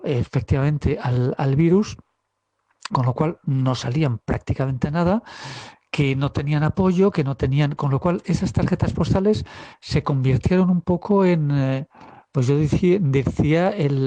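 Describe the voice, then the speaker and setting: A man giving a spontaneous interview explanation, halting and repeating words as he searches. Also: on the high side, warm tone, dry recording. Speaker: interviewed theatre company member.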